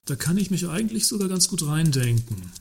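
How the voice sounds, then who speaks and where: An actor playing a wordy works council member who is politely provocative and mock-earnest in a satirical radio play. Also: male voice, on the high side, sing-song, fairly quick, gravelly, a little mellow, breathy edge, exaggerated voice. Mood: ironic sympathy.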